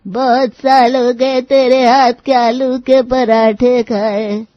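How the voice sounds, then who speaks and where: A woman voicing a bossy, demanding old grandmother-in-law in a cartoon moral story, imperious and scolding, with drawn-out words. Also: on the high side, little pitch movement, no room sound, lo-fi sound. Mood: commanding. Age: older.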